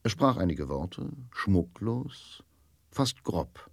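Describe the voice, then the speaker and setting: A man narrating a radio drama from a literary script in measured, sober phrases. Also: low-pitched, very animated.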